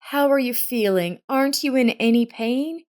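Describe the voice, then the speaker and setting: A woman narrator plays a caring stepmother in a family story. She speaks tenderly and affectionately, with the pitch raised above a normal narrating voice. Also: moderate pace, a little mellow, close to an everyday voice. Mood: tender, concerned.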